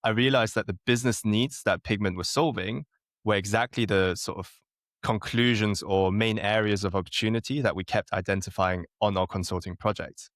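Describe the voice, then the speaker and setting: A man in his late twenties from Germany is a guest on a podcast, telling his story in a relaxed, self-deprecating way. His voice sits fairly low. It is recorded close and dry. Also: normal speed, no echo. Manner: relaxed, self-deprecating, chuckling now and then. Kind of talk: casual interview chat.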